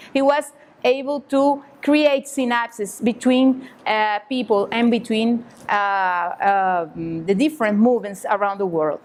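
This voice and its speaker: A woman's voice: fairly high pitch, a little mellow. A woman from Cuba, speaking at a seminar in hesitant English full of fillers and restarts. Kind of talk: halting public remarks. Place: conference hall.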